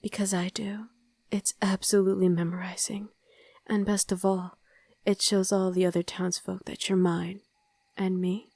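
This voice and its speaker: A woman's voice: mid-range voice, little pitch movement, a little mellow, no echo, lightly acted. A woman playing a loving, wistful vampire wife in an intimate ASMR roleplay, speaking tenderly and often in a whisper, close to the microphone. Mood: affectionate. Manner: slow, tender, coaxing murmur. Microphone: close, dry microphone.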